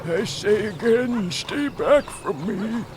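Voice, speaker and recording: A man playing a suffering, despairing medieval leper in an audio drama, speaking in a halting, pained way. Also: high-pitched, animated, noisy background. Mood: pained, warning.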